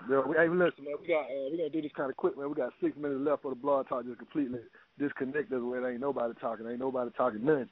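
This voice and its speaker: A man's voice: medium pitch, fairly even intonation, very fast, hardly any pauses, dry recording. An American man hosting an internet radio show, chatting casually in slang and often repeating his phrases. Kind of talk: casual chat. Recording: tinny phone-line internet radio.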